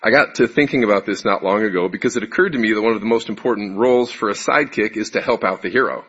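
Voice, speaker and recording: A man with a deep voice giving a conversational sermon to his congregation, asking them questions and poking fun at himself. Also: fast, a bit bright, breathy edge. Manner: conversational, self-deprecating, asks the audience questions. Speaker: preacher giving a congregation talk. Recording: muffled lo-fi recording.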